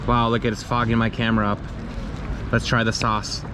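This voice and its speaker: A man's voice: mid-range voice, level tone, a little fast, background noise. A man from the United States, a travel vlogger talking to his viewers and chatting with locals. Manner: enthusiastic, addresses viewers as "you guys". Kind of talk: travel vlog narration and chat. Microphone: handheld camera. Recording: music played under the voice. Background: background music.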